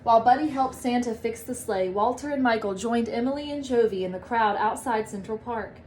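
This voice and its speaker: A woman reading a children's storybook aloud in an expressive, emphatic manner. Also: a little high, brisk, slight background noise. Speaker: storybook reader, teacher.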